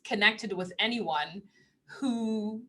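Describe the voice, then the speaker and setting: A woman with a lilt talks casually to her live-stream audience in a bright, clear tone. She rambles from thought to thought, laughs often and drops into hushed asides. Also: medium pitch, slow pace. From Trinidad. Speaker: spiritual teacher on a live stream.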